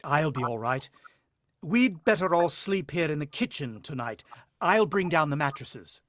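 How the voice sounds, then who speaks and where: An actor playing a rattled, protective farmhand husband in a suspenseful, vintage-style radio drama. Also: male voice, fairly high pitch, animated, a little slow, a bit bright, dry recording, clean studio sound. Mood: shaken, grave. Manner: dramatic, suspenseful first-person storytelling.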